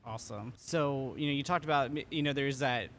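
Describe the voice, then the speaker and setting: A male podcast host with a mid-range voice asks long, encouraging questions, padding them with "you know." A faint rumble sits underneath. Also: brisk, a bit bright. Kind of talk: interview questions.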